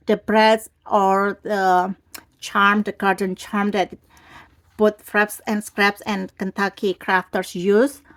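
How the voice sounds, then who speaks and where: A woman's voice: medium pitch, a bit bright. A woman hosting her craft channel, talking casually and rambling a little while she recovers from a cold and has a lingering cough. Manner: chatty, rambling with restarts. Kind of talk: casual craft tutorial talk. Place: indoors at a craft table. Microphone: overhead camera on a tabletop.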